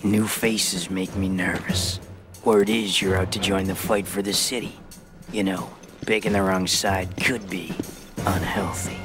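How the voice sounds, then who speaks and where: Gruff sarcastic rebel leader. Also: male voice, low voice, little pitch movement, measured pace, over music.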